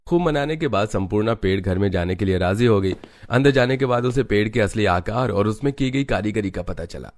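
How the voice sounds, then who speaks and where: A man's voice: fairly low pitch, expressive, a little fast. A man narrating a family story in a scripted storybook style.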